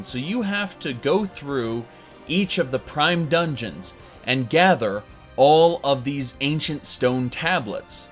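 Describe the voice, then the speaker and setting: A man talking through a retro game review in a chatty, enthusiastic way. His voice sits in an ordinary middle range. Faint music runs softly under his talk. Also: mid-range voice. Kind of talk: casual video review.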